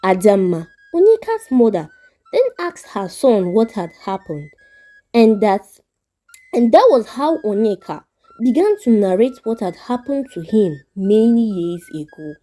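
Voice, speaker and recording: A woman's voice: medium pitch, big pitch swings, measured pace, warm tone, faint music behind. A woman narrating a folktale as a storyteller, reading from a script.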